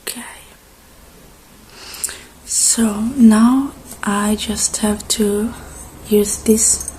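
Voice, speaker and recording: A woman doing a soft-spoken ASMR roleplay, slipping in and out of whispers, with a reassuring, halting delivery in short phrases. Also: medium pitch, steady tone, a little hiss or hum, low-quality recording.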